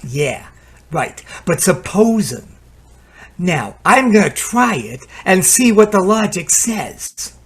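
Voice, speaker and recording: Guy doing a fed up tired crew chief, radio play, grumbly n sardonic, lively pitch, bit of hiss. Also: slow, full character voice. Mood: musing. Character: weary, exasperated male maintenance crew chief. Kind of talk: radio drama acting.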